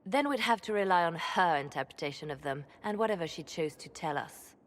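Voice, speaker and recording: A woman's voice: lowish voice, lively intonation, brisk, lightly acted. A woman playing a shrewd, cool and wary spymaster in a fantasy video game, heard in a reverberant space.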